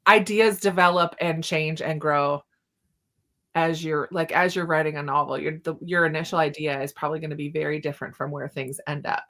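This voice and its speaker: Giggly woman hosting a book chat, voice a bit on the low side, super casual. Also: steady tone, fairly quick, fairly bright tone. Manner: giggly, often laughing through her words. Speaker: book discussion host.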